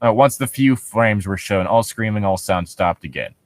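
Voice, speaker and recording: A man's voice: lowish voice, normal speed, no echo. A man co-hosting a podcast in a casual, comedic way, picking things apart with incredulous, profane commentary. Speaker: podcast co-host reading and commenting on a horror story.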